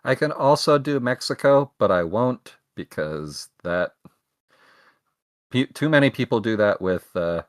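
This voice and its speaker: A man's voice: medium pitch, expressive, measured pace, no room sound, clean studio sound. A young man co-hosting a podcast, rambling casually with self-mocking jokes. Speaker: podcast co-host. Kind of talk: casual chat.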